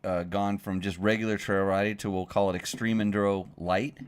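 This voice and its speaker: A middle-aged man hosting a relaxed conversation, friendly and prone to stumbling restarts. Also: lowish voice, brisk, clean tone. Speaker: host. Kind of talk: casual chat.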